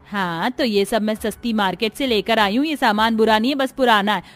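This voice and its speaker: A woman voicing a stingy, bossy older mother-in-law in a family cartoon, with a brisk, scolding manner. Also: a little high, lightly acted. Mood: bossy, impatient. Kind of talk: Hindi family cartoon storytelling.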